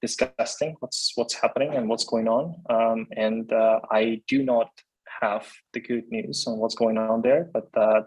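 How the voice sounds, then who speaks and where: A young adult man from Ukraine with a Ukrainian accent, giving a halting update on a video call, full of fillers and restarts. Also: medium pitch, steady tone, a little mellow, breathy edge, poor audio. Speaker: guest speaker.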